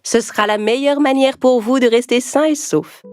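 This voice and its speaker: A woman voicing a caring mother pig who gently advises her young in a children's story. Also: high voice, normal speed, no room sound, studio quality, voice pushed up, natural voice. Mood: tender, advising. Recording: music behind the voice.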